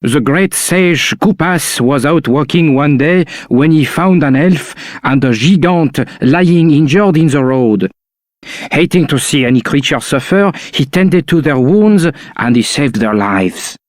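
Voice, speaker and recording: A humble storyteller in a video game. His fairly high voice is close and dry, with polite, formal phrasing. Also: lively intonation, a little slow, no echo. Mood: courteous, earnest.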